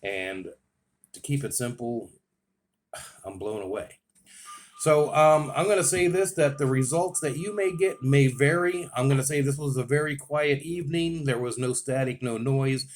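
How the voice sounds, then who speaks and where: A man's voice: mid-range voice, unhurried, a little hiss or hum. An American man giving a casual, enthusiastic and plain-spoken product review as a radio hobbyist.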